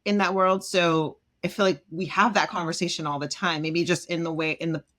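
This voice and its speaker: A woman from Jamaica, a self-esteem expert, talking as a podcast guest in an expressive way, acting out quoted voices and laughing often. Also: lowish voice, fairly quick. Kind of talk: animated podcast conversation.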